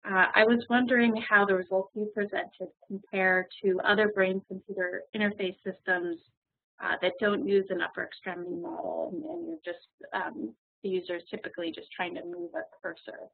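A woman's voice: mid-range voice, moderate pace, creaky. A woman moderating a webinar question session, speaking with frequent ums and uhs. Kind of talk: hosting a webinar Q&A. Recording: tinny phone-like webinar audio.

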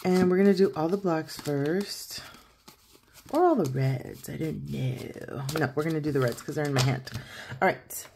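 Woman, 30s, lowish croaky morning voice, chatty, counts aloud while filming at a home desk; faint noise under the voice. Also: normal speed. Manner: chatty, counts out loud, laughs easily. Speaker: savings challenge vlogger. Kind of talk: casual chat while counting cash.

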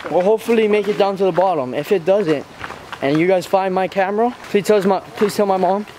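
Young adult male vlogger type, fairly high voice, slangy joker, noisy handheld-camera recording outdoors. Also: a little fast. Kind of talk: casual vlog banter. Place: outdoors on a trail and at a graffitied ruin.

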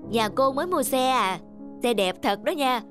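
Nosy old village gossip, lively pitch. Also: female voice, normal speed, over music. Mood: prying, gossiping. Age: older. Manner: insinuating, exclamatory gossip. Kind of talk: cartoon dubbing.

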